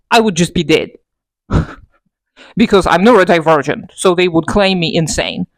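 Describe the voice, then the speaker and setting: A woman's voice: mid-range voice, no room sound, poor audio. A woman vlogger ranting unscripted to her camera, exaggerating for effect and mocking herself as she goes. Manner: exaggerating and self-mocking, with frequent laughter. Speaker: political video blogger. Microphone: camera mic.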